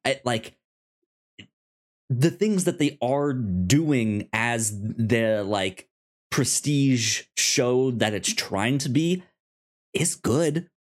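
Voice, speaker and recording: A man co-hosting a casual TV review podcast, talking in a halting way with frequent stammers and restarted phrases. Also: medium pitch, animated. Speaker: podcast co-host.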